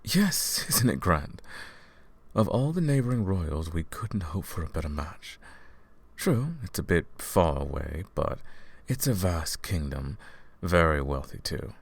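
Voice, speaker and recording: Man acting a deep, gravelly, scheming royal advisor. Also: sing-song, a little slow, no echo, exaggerated voice. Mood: teasing, persuasive. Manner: courtly, patronizing, theatrical. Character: smooth-tongued scheming royal advisor, falsely sympathetic, condescending. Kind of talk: fantasy audio roleplay, ASMR-style address to the listener.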